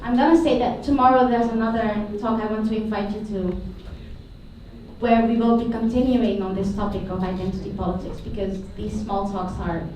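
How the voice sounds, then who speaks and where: A woman moderating a panel who stutters and speaks haltingly. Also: medium pitch, steady tone, darkish tone, slight background noise. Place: stage of an arts venue hall. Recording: echoey hall, voice from far off.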